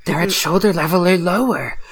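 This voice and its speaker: A woman's voice: on the low side, brisk, husky. A woman co-host reading forum posts aloud in a mock-serious tone during a casual comedic chat.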